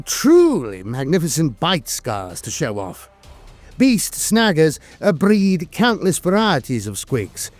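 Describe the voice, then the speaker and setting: Dramatic male lore narrator, very animated. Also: on the high side.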